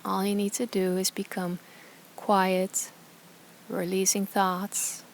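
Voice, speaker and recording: A woman guiding a meditation as a yoga teacher, speaking softly and soothingly in short, calm phrases with pauses between them. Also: medium pitch, slight background noise. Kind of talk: guided meditation instruction.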